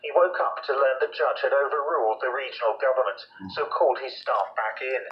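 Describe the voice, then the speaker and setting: A man's voice: medium pitch, fairly quick, highs cut off, a little hiss or hum. A man reading a scripted news report as an editor on a shortwave radio broadcast.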